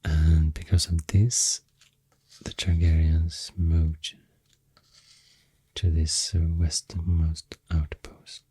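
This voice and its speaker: Male ASMR creator, Swedish accent, soft breathy near-whisper; flat, slow, unscripted delivery on a close mic. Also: extremely low pitch, no room sound. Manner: hesitant, unscripted, unhurried. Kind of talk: soft-spoken ASMR rambling explanation. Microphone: close-up ASMR microphone.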